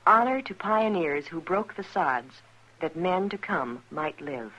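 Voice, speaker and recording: A woman's voice: on the low side, lively intonation, measured pace, sharp tone. A woman with an American accent reading scripted narration as a mid-century radio announcer. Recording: dull old radio recording.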